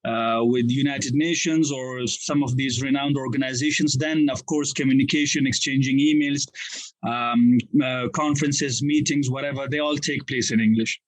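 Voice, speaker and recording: A man from Afghanistan, a business manager, speaking in a podcast interview with frequent pauses, fillers and restarts. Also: mid-range voice, fairly even intonation, poor audio. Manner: hesitant, many fillers and restarts.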